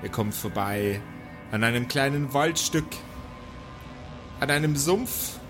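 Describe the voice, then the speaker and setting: A man improvising narration as game master of a tabletop audio game, with theatrical delivery and deliberate pauses. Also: mid-range voice, lively intonation. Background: background music.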